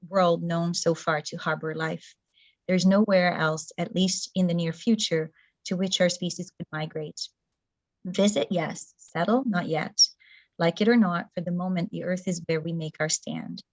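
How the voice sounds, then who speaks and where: A woman's voice: on the low side, no echo, low-quality recording. A middle-aged woman whose first language is Portuguese gives a thoughtful talk over a video call, with frequent fillers and restarts. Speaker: presenter.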